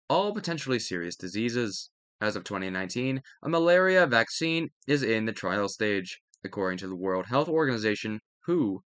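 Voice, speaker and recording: A man's voice: mid-range voice, fairly bright tone, no echo. A man reading a textbook aloud as a study-video host, stumbling over words now and then and correcting himself.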